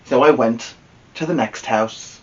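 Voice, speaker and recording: A man's voice: medium pitch, unhurried, recorded from a distance, dull audio, lightly acted. A man voicing a wronged storybook wolf who pleads innocence in a confiding, theatrical way. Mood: mock-innocent. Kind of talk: first-person storybook read-aloud.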